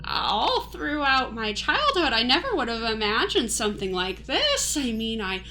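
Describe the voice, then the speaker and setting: A woman's voice: on the high side, big pitch swings, moderate pace, sharp tone, low-quality recording. A woman playing a bewildered, average newcomer who asks hesitant, flustered questions in an improvised comic radio play. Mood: wistful, rambling. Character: bewildered, average, well-meaning newcomer Fred.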